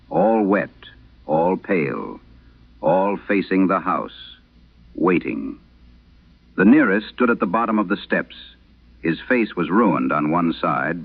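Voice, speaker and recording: A man narrating a scripted horror tale in vintage radio style, solemn and measured, with dramatic pauses. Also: sits low, vocal fry. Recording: dull, band-limited vintage radio sound.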